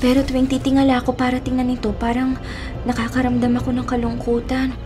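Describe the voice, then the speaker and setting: Radio drama daughter woman actor: very high voice, anguished and questioning, over prominent dramatic music. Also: monotone, fast, close to an everyday voice. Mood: melancholy narrating. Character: frightened, distraught daughter learning her family's monstrous secret. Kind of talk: Filipino radio drama acting.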